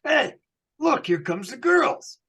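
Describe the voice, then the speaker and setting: An actor playing an excitable, hot-tempered man in an old-time radio comedy, blustering and exclaiming. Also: extremely high pitch, takes it slowly, full character voice. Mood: puzzled, flustered. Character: George, excitable hot-tempered man on a madcap road trip.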